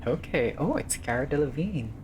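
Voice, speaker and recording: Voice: medium pitch, expressive, normal speed. A gamer doing a casual playthrough, reading visual novel text aloud with informal asides, some swearing and the odd stumble. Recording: clearly noisy recording.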